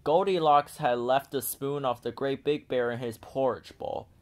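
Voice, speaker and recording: A man narrating a children's storybook in an animated read-aloud style. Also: medium pitch, moderate pace.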